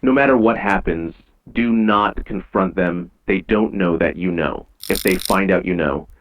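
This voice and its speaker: A man playing a grave, measured emergency announcer in an eerie analog horror broadcast, with dull, lo-fi old radio sound. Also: sits low, slight background noise. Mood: ominous, grave. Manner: measured, solemn, ominous.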